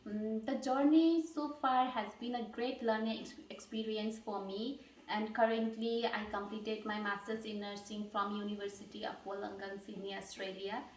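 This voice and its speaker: A woman in her thirties from Nepal, answering mock job interview questions earnestly, pausing with fillers and restarting phrases. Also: medium pitch, flat delivery, slight background noise. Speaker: job candidate. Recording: echoey room, distant mic.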